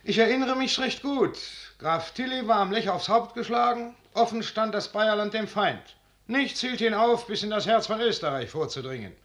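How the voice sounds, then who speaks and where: An actor playing a blunt, proud field marshal with a declamatory, biting delivery, in a 1950s radio drama. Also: male voice, high voice, moderate pace, fairly bright tone. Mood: sardonic, biting. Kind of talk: 1950s German radio drama verse acting.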